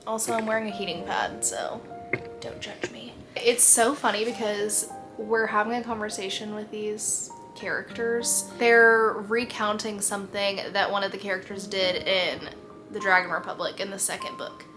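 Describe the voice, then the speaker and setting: A young woman chatting casually in a reading vlog, expressive and full of "like", losing her voice. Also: medium pitch, moderate pace, music in the background. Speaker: book vlogger.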